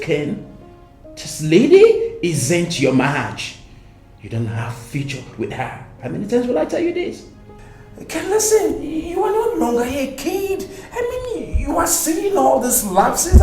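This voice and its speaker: A man's voice: very high-pitched, huge pitch swings, a little slow, darkish tone, reverberant, faint music behind, exaggerated voice. An exasperated, blunt Nigerian friend in a film drama, scolding and emphatic as he gives a warning.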